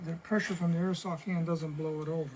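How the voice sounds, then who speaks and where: A man's voice: on the high side, flat delivery, fast, a little mellow, slight background noise. Chatty man demoing in a workshop. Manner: chatty, explains step by step. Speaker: hobby modeler demonstrating techniques. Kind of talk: casual hands-on tutorial. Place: basement workshop with paint booth.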